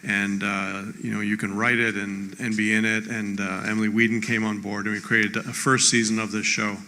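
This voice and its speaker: A man giving an off-the-cuff acceptance speech in a theatre, halting as he searches for names. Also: lowish voice, level tone, bright, forceful tone, a little hiss or hum. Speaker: award winner, show creator. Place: theatre stage. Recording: echoing theatre hall.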